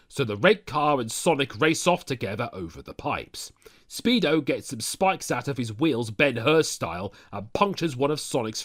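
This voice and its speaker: A man's voice: medium pitch, a bit bright. A man narrating a scripted comic story recap, dropping sardonic asides to the listener. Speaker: narrator of a fan audio story.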